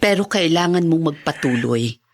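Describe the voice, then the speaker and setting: An actress playing a caring teacher in a podcast drama, earnest and encouraging. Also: female voice, sits low, lively intonation, normal speed. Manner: earnest, mixes English into Tagalog. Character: encouraging, caring woman teacher urging her student on. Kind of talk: podcast radio drama acting.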